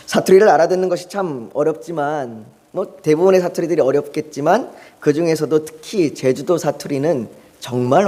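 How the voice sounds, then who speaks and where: A male pastor delivering a Sunday sermon, telling stories calmly and rising in emphasis when he urges his point. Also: fairly high pitch. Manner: calm storytelling, rising in emphasis when urging. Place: church sanctuary. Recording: long reverb of a church hall.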